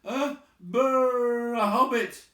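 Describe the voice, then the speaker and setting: A man acts out a frightened little hobbit from a storybook. The voice is high, shaky and stammering, in a roomy echo. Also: fairly even intonation, drawn-out words, bright, forceful tone, far from the mic, full character voice. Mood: terrified. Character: small, frightened, stammering male hobbit Bilbo.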